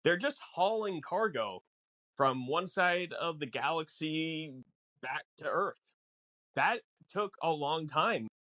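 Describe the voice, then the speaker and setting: A man's voice: a little high, lively intonation, slow, pushed and bright, no echo. A man co-hosting a podcast, explaining things casually in an emphatic, rambling way. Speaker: podcast co-host. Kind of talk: casual film discussion podcast.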